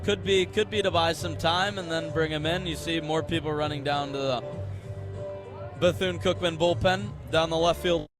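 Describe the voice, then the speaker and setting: A man's voice: a little high, steady tone, moderate pace, music in the background. A young American man doing live radio play-by-play, conversational, stretching words on the big calls. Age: young adult. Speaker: play-by-play sports announcer.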